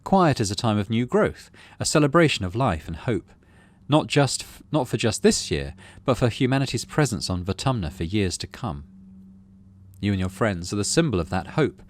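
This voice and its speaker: Animated, slightly low-voiced man narrating a game. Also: normal speed. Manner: expressive storyteller reading with asides. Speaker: gaming channel host. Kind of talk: reading game narration aloud with casual commentary.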